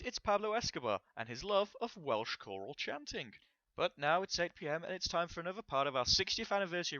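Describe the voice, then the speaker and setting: Man as bright-voiced deadpan radio continuity announcer. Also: on the high side, no room sound, muffled recording. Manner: measured, deadpan.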